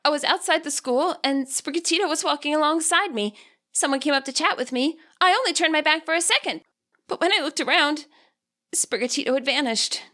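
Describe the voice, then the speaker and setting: A troubled teenage girl, as a female narrator puts her on, speaks in a high, sharp voice. She sounds guarded and weary, like a young trainer in an audiobook who is holding back her hurt. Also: brisk. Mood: upset.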